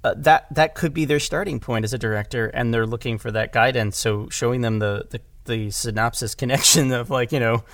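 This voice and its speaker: A man chatting casually as a director on a podcast, effusive, often halting and repeating his phrases. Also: mid-range voice, fairly bright tone, dry recording, studio quality. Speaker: podcast speaker, audio drama director.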